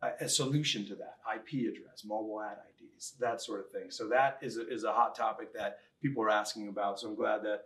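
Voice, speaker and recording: A man's voice: on the low side, fairly even intonation, a little fast, hardly any pauses, a bit bright. A man co-hosting a casual interview podcast, chatting informally with jokey asides. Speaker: podcast co-host.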